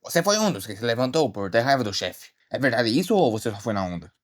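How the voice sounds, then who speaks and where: Man as a fast-talking alligator detective. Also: medium pitch, sing-song. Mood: probing, exasperated. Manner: casual, muttering, questioning. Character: male alligator detective, ship's guard, blunt and exasperated. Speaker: ship security guard turned detective. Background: faint music.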